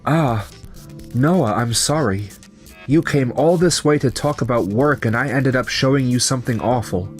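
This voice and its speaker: Measured young businessman, acted by a man. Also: medium pitch, slightly rough, close to an everyday voice. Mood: resigned. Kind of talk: romcom manga dub acting. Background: background music.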